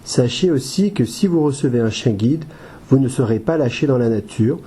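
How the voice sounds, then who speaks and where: A man playing a patient, formal and polite association adviser with a soft, slightly husky voice in an amateur audio drama. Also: medium pitch, expressive, moderate pace. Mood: courteous, informative. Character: courteous, patient male guide-dog association adviser.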